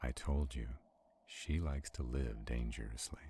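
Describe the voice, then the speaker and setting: A man playing an arrogant, protective centuries-old vampire in a roleplay audio drama, haughty and brooding, with a gravelly, husky voice. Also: rumbling low, measured pace, mellow, a little hiss or hum. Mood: uneasy, wary.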